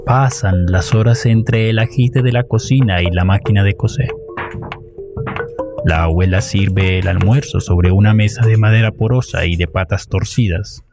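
A man's voice: lowish voice, steady tone, moderate pace, music in the background. A man with a Colombian accent reading scripted narration for a radio play.

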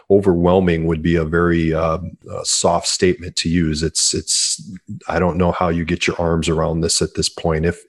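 A man in his forties, an author answering interview questions earnestly and repeating words as he starts sentences. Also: sits low. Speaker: author guest.

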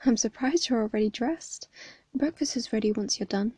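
A woman with a hoarse voice reading the lines of a kind mother figure, tender and encouraging. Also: fairly high pitch, normal speed, dark tone, no echo.

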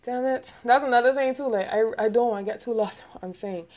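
A young woman with a Barbadian accent talking quickly and rambling, hosting a casual solo podcast and laughing often. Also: on the high side, a little mellow. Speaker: podcast host.

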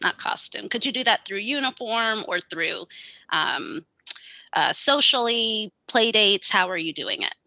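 A woman interviewer asks curious, thoughtful questions in a bright, crisp voice. The recording is dry and close, with almost no room sound. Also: medium pitch, lively intonation, measured pace, no echo.

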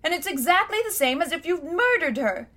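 A woman's voice: very high-pitched, lively intonation, a little fast, bright, forceful tone, voice pushed up, full character voice. A woman voicing an angry young schoolboy who speaks fiercely and indignantly.

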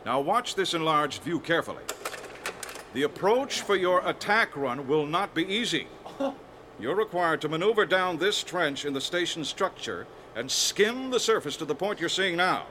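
A man playing a commanding rebel general in a radio drama, briefing pilots in a formal, deliberate, emphatic way. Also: on the high side, bright, forceful tone, a little hiss or hum.

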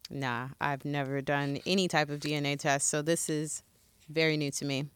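A woman's voice: sits low, steady tone, moderate pace, smooth, no echo. A woman co-hosting a podcast in casual chat, speaking in an earnest, open way. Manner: earnest and open, laughs readily. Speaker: podcast co-host.

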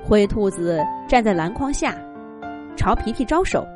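A woman telling a children's story with gentle, expressive narration. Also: mid-range voice, unhurried, music in the background.

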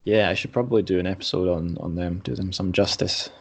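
Male podcast host, lowish voice, casual and self-deprecating interviewer. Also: fairly even intonation, fast talker.

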